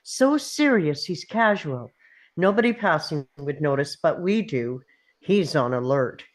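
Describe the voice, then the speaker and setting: A woman's voice: low voice, lively intonation, a little slow. A woman narrating screenplay stage directions in short, clipped, punchy phrases at a table read. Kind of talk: scripted narration of screenplay action.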